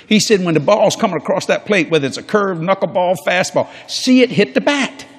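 A man's voice: high voice, lively intonation, brisk, husky. An older man preaching a sermon on a large church stage before a congregation, folksy and jokey, acting out quoted people in different voices.

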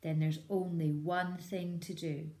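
A cruel, scheming farmer talks slowly and lowly, full of cold menace, as a woman reading the storybook puts him on. There is a roomy echo around the voice. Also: level tone, warm tone. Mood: menacing. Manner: low slow menacing delivery.

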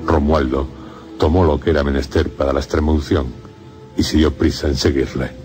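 A man narrating a gothic horror radio drama in a solemn, measured way. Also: a bass voice, flat delivery. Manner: solemn, measured storytelling in short phrases. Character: grave male narrator of a gothic horror tale. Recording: music under the voice.

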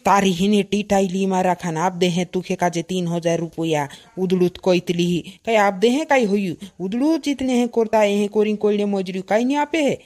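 A woman's voice: medium pitch, normal speed, hardly any pauses, darkish tone, natural voice. A woman playing a defiant village voter in a cartoon comedy, scolding and insistent.

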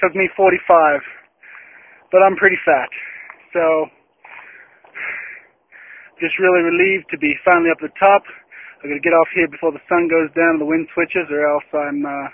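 A man's voice: on the high side, steady tone, normal speed, long pauses. A middle-aged man filming himself on an open hillside with a phone held at arm's length, chatty and self-deprecating about his hike. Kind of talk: casual self-filmed vlog. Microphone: phone camera at arm's length. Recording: tinny lo-fi phone recording.

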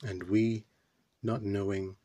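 A man playing a puzzled, brooding character in a theatrical stage monologue, pondering haltingly with deliberate pauses. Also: lowish voice, level tone, dark tone. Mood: wistful.

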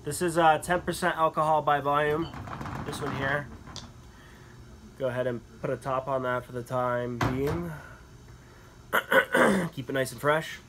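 A young man casually reviewing beer at home, talking through each sip with relaxed, enthusiastic comments and frequent fillers, filmed in a small wood-paneled room. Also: on the high side, unhurried, long pauses, noisy background.

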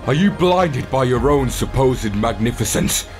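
A man's voice: mid-range voice, lively intonation, a little slow, faint music behind, voice pushed up, natural voice. A man voicing an arrogant, threatening armored warlord in a fantasy audio drama, speaking with haughty menace. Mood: gloating.

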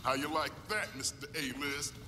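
A man playing a gruff, hot-tempered army major, blunt and streetwise, in an action video game. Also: mid-range voice, moderate pace, big-room sound, noisy background, close to an everyday voice. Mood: angry.

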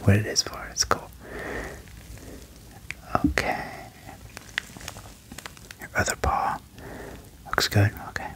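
A young man whispering gently into a close microphone in an ASMR medical roleplay, with short reassuring phrases. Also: slow pace, long pauses, low-quality recording. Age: young adult. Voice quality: whispered, breathy. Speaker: ASMR performer playing an alien doctor. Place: small wood-panelled room indoors. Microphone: close microphone with furry windscreen.

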